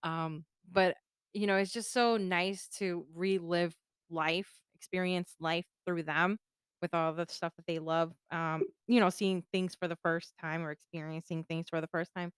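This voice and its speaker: A woman podcast co-host from Puerto Rico with a smooth, resonant voice. She talks casually and laughs often, switching into Spanish now and then. The sound is close and dry. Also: fairly low pitch, fairly even intonation, a little slow, dry recording. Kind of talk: casual chat.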